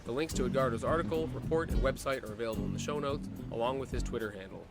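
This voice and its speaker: A man reading a podcast's opening script at a fast clip, in a voice of middling pitch. Loud guitar music plays under his words throughout. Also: vocal fry. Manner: brisk, read from a script. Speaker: podcast host. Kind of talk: scripted show intro.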